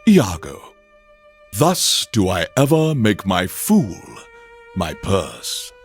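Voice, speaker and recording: Pompous, slow-speaking theatrical alien overlord. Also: male voice, lowish voice. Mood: scheming, sinister. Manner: pompous, grandiose, deliberate enunciation. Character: pompous, theatrical alien overlord radio host, male, erudite and menacing. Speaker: radio DJ host. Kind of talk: theatrical Shakespearean recitation and radio presenting.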